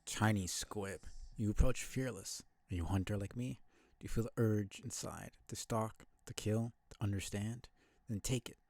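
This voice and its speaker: A man voicing an ancient, gruff hunter beast from a video game, speaking in a solemn, archaic, commanding way. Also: fairly low pitch, dark tone, dry recording. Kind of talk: video game character dialogue read aloud.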